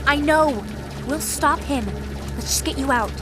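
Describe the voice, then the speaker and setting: An actress playing an earnest, urgent young mutant heroine in a video game. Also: female voice, high-pitched, a little slow, over music. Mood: pleading. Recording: game soundtrack under the voice.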